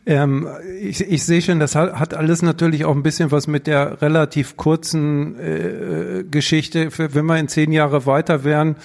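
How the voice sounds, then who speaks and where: A man's voice: fairly high pitch, steady tone, normal speed, gravelly, few pauses, darkish tone. A man hosting a live stage talk, asking questions with plenty of hesitant fillers. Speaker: host. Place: theater stage.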